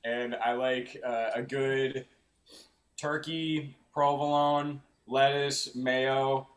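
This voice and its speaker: A man in his twenties talks casually as a band guest. He speaks haltingly and teases in a deadpan way. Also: medium pitch. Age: 20s. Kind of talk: casual chat.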